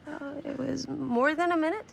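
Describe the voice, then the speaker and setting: A woman's voice: sits high, moderate pace, creaky. A woman playing an eerie, evasive and politely amused character in a video game cutscene, speaking in hesitant riddles. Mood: cryptic, amused.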